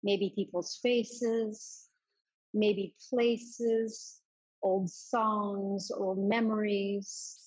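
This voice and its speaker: A woman leading a guided meditation as a yoga teacher, giving soft instructions with many pauses. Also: medium pitch, steady tone, low-quality recording.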